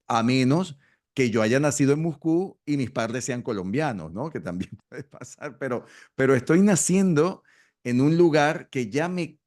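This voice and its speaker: A middle-aged man from Venezuela talks at a mid pitch. He explains an idea slowly and often restarts, repeating words as he searches for the next phrase. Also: a little mellow. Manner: hesitant, repeats words while thinking. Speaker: astrology school host. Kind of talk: conversational explanation.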